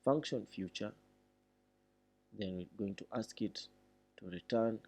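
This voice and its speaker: Slow, low-voiced man reading a tutorial aloud. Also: darkish tone, slightly rough. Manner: deliberate, thinking aloud between read passages. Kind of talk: read-along tutorial with informal explanation.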